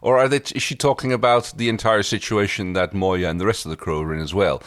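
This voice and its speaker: A man's voice: fairly low pitch, fairly even intonation, quick pace, fairly bright tone, no room sound, studio quality. A man co-hosting a podcast, casually talking through a TV episode. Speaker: podcast co-host.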